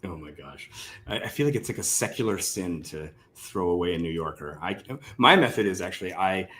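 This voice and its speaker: A man with a fairly low voice hosts a casual live online interview. He speaks in a hesitant, roundabout way, hedging as he frames his questions. Some noise sits behind his voice. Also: expressive, a little fast, a bit bright. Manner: hesitant, rambling questioning with hedges. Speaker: interview host. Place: live online video call.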